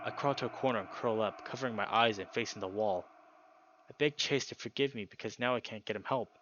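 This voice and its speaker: A man's voice: lowish voice, quick pace, fairly bright tone, muffled recording, slight background noise, lightly acted. A man voicing a paranoid, guilt-ridden young male animal character, reading visual novel narration in a troubled, confessional way. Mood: panicked, despairing. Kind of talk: visual novel text read dramatically. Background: guitar music.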